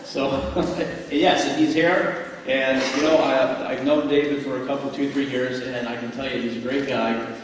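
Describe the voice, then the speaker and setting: A middle-aged man emceeing a live event, introducing a speaker in a chatty, halting way with restarts and asides to the audience, speaking into a handheld mic at a podium. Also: medium pitch, level tone, noisy background. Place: lecture hall, standing at a podium. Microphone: handheld microphone. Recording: echoey hall recorded from far off.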